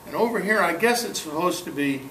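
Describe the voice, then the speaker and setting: A man with a slightly high voice gives a folksy, halting show-and-tell talk, dropping in jokes. He is picked up from a distance in an echoey room with noise in the background. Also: animated, pushed and bright. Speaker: club speaker giving a talk. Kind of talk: informal show-and-tell talk to a club audience. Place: club meeting room, live talk.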